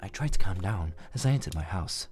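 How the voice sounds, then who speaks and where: A man hosting a casual let's play, reading visual novel narration aloud in a low-key, deadpan way. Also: fairly quick, loud music behind the voice. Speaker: gaming video host.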